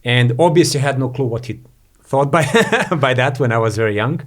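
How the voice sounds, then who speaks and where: A man's voice: medium pitch, a little fast. Rambling man from Croatia, says "you know". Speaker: podcast guest, entrepreneur. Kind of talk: casual interview chat.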